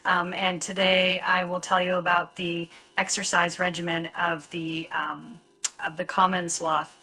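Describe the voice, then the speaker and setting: A text-to-speech female voice reading a sample with hesitant ums, husky in tone. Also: lowish voice, little pitch movement, measured pace, sharp tone, poor audio.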